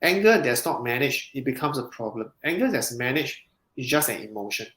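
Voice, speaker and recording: A man, a counselling psychologist, explaining in a measured way in a podcast interview, with frequent restarts. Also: medium pitch, very animated, a bit bright. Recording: roomy echo.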